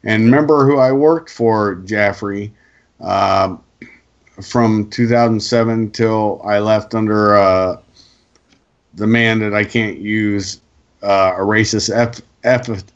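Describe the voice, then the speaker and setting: A male co-host giving opinionated casual talk, explaining emphatically with halting pauses over a lo-fi connection. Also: fairly low pitch. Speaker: co-host putting questions to the guest.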